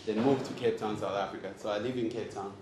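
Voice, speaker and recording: Man from Zambia, forties, deeper voice, playful speaker quizzing audience, roomy echo, background noise. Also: steady tone, fast talker. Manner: joking and engaging, quizzes the crowd. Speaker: host and speaker. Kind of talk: live talk to an audience. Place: event room with audience. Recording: echoey room, audible noise.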